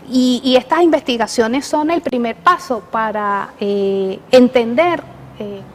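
A woman's voice: a little high, slight background noise. A woman hosting a radio interview, hesitant, with frequent filler sounds. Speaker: radio show host and astronomer.

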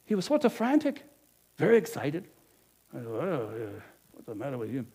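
A man playing a lively, high-voiced innkeeper. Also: normal speed, husky, heavily acted. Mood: haggling. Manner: animated, emphatic, talks straight to the audience. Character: businesslike male village innkeeper, proud then guilty. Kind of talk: first-person dramatic monologue.

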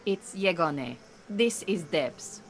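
An actress playing an earnest, proud Iranian refugee woman who mentors beekeepers in an audio drama, speaking in a measured, formal, precise way. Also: fairly low pitch, animated, a little hiss or hum. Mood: polite, guarded. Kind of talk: naturalistic audio drama acting.

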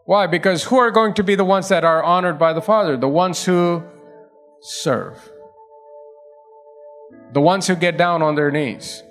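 High-voiced preaching man. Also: unhurried, pauses often, fairly bright tone, soft background music. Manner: preaching with rising emphasis and repetition. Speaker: pastor. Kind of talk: sermon.

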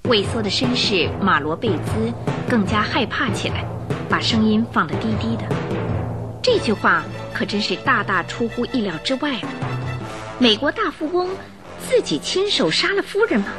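A woman's voice: fairly high pitch, big pitch swings, normal speed, music in the background. A woman giving dramatic scripted narration as the storyteller of a radio drama.

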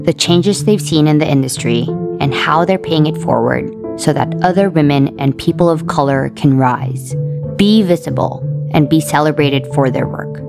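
A woman narrating a documentary feature with a measured, storytelling delivery. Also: low-pitched, creaky. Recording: music playing behind the voice.

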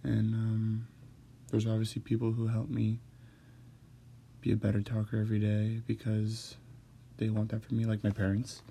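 A man who stutters, talking hesitantly with many pauses and fillers as he hosts a personal monologue. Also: lowish voice, completely flat delivery, creaky, mellow, slight background noise. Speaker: host.

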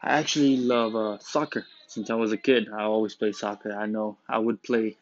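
A man's voice: fairly low pitch, fairly even intonation, normal speed. A young man in his late teens from Romania, hosting a student podcast from the back room of a school in a rambling, joking, laid-back way. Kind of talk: casual rambling podcast monologue. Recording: dull, dry lo-fi recording.

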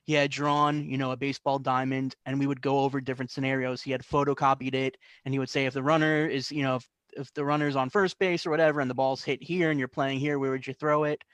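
A man's voice: mid-range voice, little pitch movement, quick pace, fairly bright tone, smooth, dry recording. A young adult man from the New York area telling stories as a guest in a casual interview, with many fillers and restarts. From New York and New Jersey, US. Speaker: guest artist.

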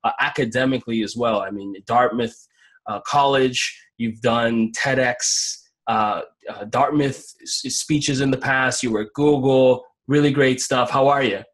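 A man's voice: mid-range voice, steady tone, a little slow, a bit bright. Casual male interview host.

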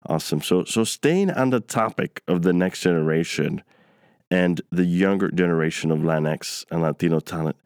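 A man from New York hosting a conversational interview in an affirming, deliberate way. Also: deep voice, a little mellow, smooth, no room sound, clean studio sound. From New York, United States. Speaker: interviewer, host.

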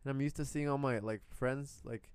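Blunt, smooth-voiced young man chatting. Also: mid-range voice, normal speed, no room sound. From Puerto Rico. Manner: blunt, profane, animated retelling with quoted asides. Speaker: podcast co-host. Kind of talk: casual chat, storytelling.

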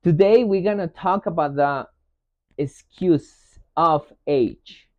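High-voiced man in an echoey room. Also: slow pace. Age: 40s. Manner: emphatic, straightforward. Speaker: health coach, host. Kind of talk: motivational talk with personal anecdotes. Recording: boxy echoey room, dull lo-fi sound.

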